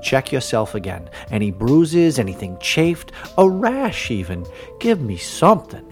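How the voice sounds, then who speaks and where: A man voicing a pushy, scheming male lawyer who wheedles and coaxes in a raised, lively voice. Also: medium pitch, a little slow, faint music behind. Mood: wheedling.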